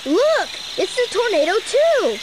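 A woman voicing an eager young boy fox sidekick in a video game, youthful and excitable. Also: extremely high pitch, measured pace, background noise, full character voice. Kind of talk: video game cutscene acting.

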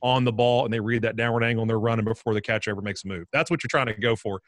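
A man, a baseball coach on a video call, explaining base running in a steady, instructive way. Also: lowish voice, very fast, no echo, studio quality. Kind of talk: video call panel discussion.

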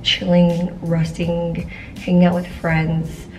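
Slightly hoarse young woman vlogging. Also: lowish voice, monotone, slow, a little mellow, recorded from a distance, soft background music, rough recording. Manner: chatty, says "like" and "y'alls" often. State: slightly hoarse, losing her voice. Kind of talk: casual vlog chat to camera. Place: apartment living room, indoors.

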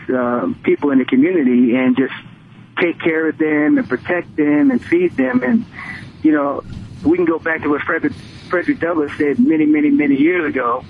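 Higher-voiced American man on a phone line. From Connecticut, United States. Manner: emphatic, repeats points for stress. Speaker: radio phone-in caller. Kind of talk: opinionated call-in talk. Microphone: telephone. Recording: tinny phone line, faint noise.

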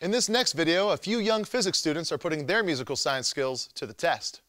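A man's voice: on the high side, fairly quick, a bit bright, no room sound, soft background music. An American man in his thirties hosting and narrating a scripted science show in a jokey, theatrical way. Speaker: host.

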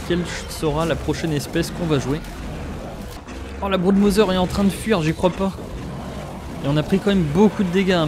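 A young French man giving excitable let's play commentary, often exclaiming and talking straight to his viewers. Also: on the high side, noisy background. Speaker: gaming YouTuber.

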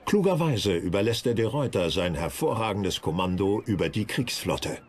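A man's voice: on the low side, animated, normal speed, slight background noise. A man narrating a historical documentary from a script.

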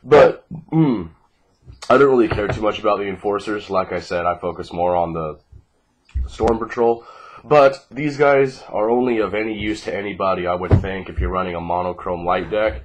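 A young man with a low, groggy voice talks through a card deck. He is casual and unscripted, swearing now and then in a laid-back way. A faint noise sits behind him on the homemade recording. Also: moderate pace. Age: 20s. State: groggy, congested from fighting off a cold. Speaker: card game player presenting a deck. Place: small living room at home, indoors. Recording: noisy home webcam recording with clicks.

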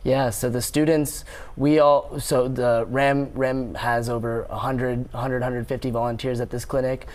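Earnest young man, even monotone. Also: mid-range voice, unhurried. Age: young adult. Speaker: pre-med student volunteer. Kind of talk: live TV interview. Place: TV news studio. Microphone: lapel.

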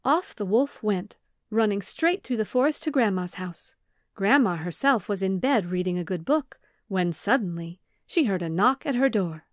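A woman's voice: mid-range voice, expressive, moderate pace, no room sound, clean studio sound. A woman in her thirties, a children's storyteller, narrating a scripted tale expressively.

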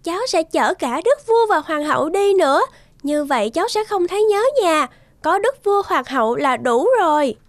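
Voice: very high voice, expressive, moderate pace, dry recording. An actor voicing a cheerful, imaginative little girl playing a princess in a cartoon, polite and eager as she tells her tales. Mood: excited. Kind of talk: animated cartoon dialogue.

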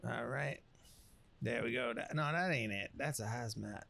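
A man's voice: mid-range voice, normal speed, vocal fry, sharp tone. A man giving casual video game commentary in folksy, slangy speech, muttering along to himself as he plays. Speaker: gameplay streamer.

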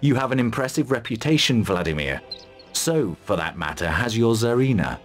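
A man playing a gruff, confident mercenary commander, curt and dry, in a fantasy video game. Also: mid-range voice, sing-song, normal speed, faint music behind, natural voice. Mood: dry, wary.

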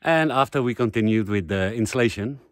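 Guy vlogging outside on a roof, camera held out, low voice, lots of uhs and ums, laid-back. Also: brisk, over loud music. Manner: relaxed, chatty, frequent uh and um fillers. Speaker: vlogger renovating a house. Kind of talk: casual vlog narration. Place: outdoors on a rooftop in a rural village. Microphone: handheld camera at arm's length.

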